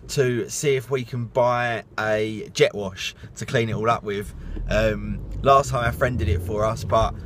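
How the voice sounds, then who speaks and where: A man in his thirties talks to his camera in a loose, rambling vlog, leaning on plenty of "uh"s as he goes. His voice sits a little low and has turned hoarse and croaky, with the roughness running through every line. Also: steady tone, a little slow, fairly bright tone, slight background noise. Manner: chatty, rambling with many "uh" fillers. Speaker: vlogger. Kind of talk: casual vlog chat.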